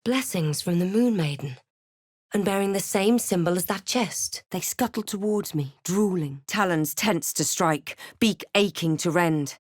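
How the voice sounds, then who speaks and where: A woman voicing a composed, snarky adventurer and spellcaster in a fantasy video game, with a deliberate, dramatic delivery. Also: fairly low pitch, dry recording, clean studio sound. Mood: solemn, controlled. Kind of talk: fantasy game voice lines and scripted narration.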